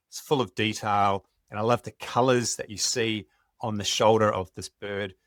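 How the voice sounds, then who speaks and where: A middle-aged Australian man, a wildlife photographer, telling stories in a relaxed, enthusiastic podcast chat. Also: on the low side, no room sound, studio quality. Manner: enthusiastic, conversational, some restarts.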